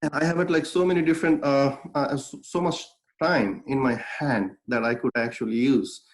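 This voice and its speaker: A man's voice: medium pitch, moderate pace, low-quality recording. A young man from Bangladesh, a native Bengali speaker, tells his life story as an interview guest, earnest and reflective, with many fillers and restarts. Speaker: guest, clothing brand founder.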